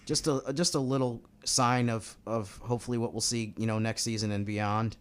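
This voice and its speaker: A man's voice: lowish voice, steady tone, moderate pace, clear, resonant tone. An American man speaking as a sports podcast analyst in a casual, conversational style. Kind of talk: casual basketball talk.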